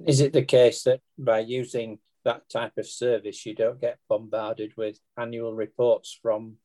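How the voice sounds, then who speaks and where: A man's voice: mid-range voice, little pitch movement, unhurried, warm tone. A man interviewing guests on a podcast, putting leading, prompting questions.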